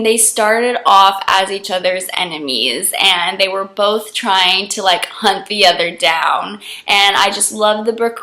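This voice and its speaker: A young woman vlogger with a cold talks to camera, her voice hoarse and froggy. She speaks at an unhurried pace with a bright, forward tone. She gushes about her favorites in a friendly, casual chat. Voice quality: froggy, deeper than usual. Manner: gushing, enthusiastic. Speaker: book vlogger.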